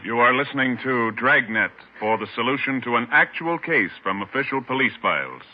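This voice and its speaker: A man's voice: mid-range voice, expressive, fairly quick, loud music behind the voice. A man announcing a 1950s radio show and its commercial in an emphatic, formal style. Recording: muffled old radio recording.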